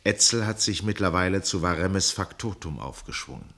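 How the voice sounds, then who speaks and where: A man narrating a 1960s radio play in a measured, precise literary style with dramatic pauses. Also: low voice, animated, fairly bright tone.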